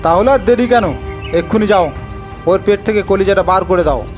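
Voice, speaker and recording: An imperious older king from a fairy-tale cartoon, acted out by a man, pitched high for a male voice. He commands and questions with suspicion and threat, over clear background music. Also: normal speed, lightly acted. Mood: suspicious, threatening.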